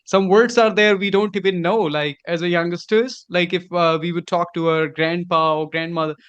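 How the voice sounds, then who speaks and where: A man with a high voice, chatting as host in a relaxed conversation. His is Hindi, and he talks in an earnest, encouraging, slightly rambling way. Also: moderate pace, clear, resonant tone, muffled recording. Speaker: host and English teacher. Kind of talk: casual conversation.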